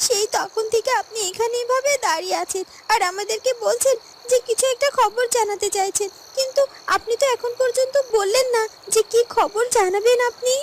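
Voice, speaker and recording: A woman's voice: very high-pitched, brisk, background noise. A woman playing an anxious, insistent young daughter in a fairy-tale cartoon.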